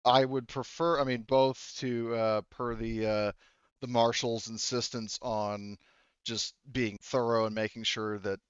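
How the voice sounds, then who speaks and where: A man narrating a tabletop roleplaying game as game master in a halting way, speaking for characters in his own voice. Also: mid-range voice, level tone, clean tone, no room sound, dull audio.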